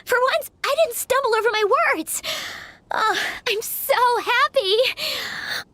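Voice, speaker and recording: A woman's voice: very high-pitched, takes it slowly, pushed and bright, full character voice. A woman voicing a shy, earnest young aspiring bard in a video game, hesitant and apologetic in the way she talks. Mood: overjoyed. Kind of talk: video game character acting. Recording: music behind the voice.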